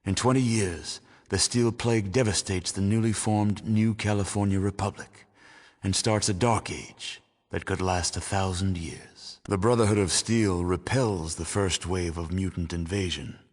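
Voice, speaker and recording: Deep, solemn doomsday narrator. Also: male voice, fairly even intonation. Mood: grave, portentous. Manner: solemn, measured, portentous. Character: grave male storyteller recounting a post-apocalyptic world's fate. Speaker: narrator of a game's endings. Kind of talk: scripted dramatic narration of an epilogue. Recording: long reverberant hall echo.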